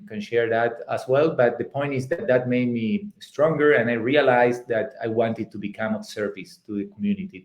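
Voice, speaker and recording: A man's voice: mid-range voice, darkish tone. Argentine-accented man in an echoey room. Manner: hesitant, many fillers and restarts. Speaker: guest speaker, psychologist and company founder. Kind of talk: webinar talk. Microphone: video call.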